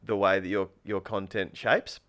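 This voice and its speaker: Rambling, low-voiced man. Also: little pitch movement, moderate pace, a bit bright. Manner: rambling, thinks aloud, restarts often. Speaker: podcast guest, spearfisher and food truck owner. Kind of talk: casual podcast chat.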